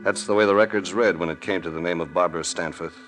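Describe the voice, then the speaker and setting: An actor playing a shrewd, calm, world-weary investigator with an American accent and a cool, deliberate delivery in an old radio drama. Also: male voice, deep voice, faint music behind. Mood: weary accusing. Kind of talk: 1940s-50s radio drama acting. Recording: vintage radio recording.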